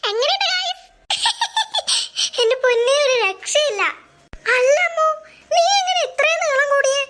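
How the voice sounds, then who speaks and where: Very high, mischievous cartoon girl. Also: unhurried. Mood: amused, teasing. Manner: excitable, teasing, giggly. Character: mischievous scheming young girls, giggly, teasing cartoon kids. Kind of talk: Malayalam comedy cartoon dialogue.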